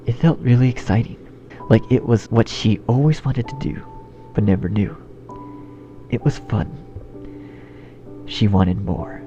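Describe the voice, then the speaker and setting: A man's voice: medium pitch, a little slow, long pauses, lo-fi sound. A man reading a horror story as narrator in a scripted style. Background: background music.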